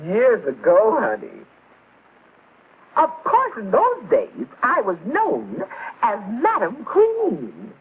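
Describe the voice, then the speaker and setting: A woman's voice: a little high, wildly swooping pitch, slow pace, exaggerated voice. Grand sitcom mother, swooping voice. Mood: proud. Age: middle-aged. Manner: grand, emphatic. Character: imperious, theatrical middle-aged mother with a romantic past. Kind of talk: 1950s American sitcom acting. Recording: tinny, noisy vintage television soundtrack.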